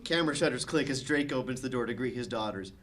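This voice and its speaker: A man's voice: medium pitch, fast, a bit bright. A man reading stage directions as the narrator of a comedic script table read.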